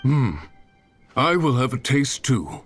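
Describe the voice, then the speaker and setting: A man playing a wistful hunter from a bygone era in a video game, speaking in a halting, sighing manner. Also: medium pitch, animated, faint music behind. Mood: wistful, moved. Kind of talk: video game character acting.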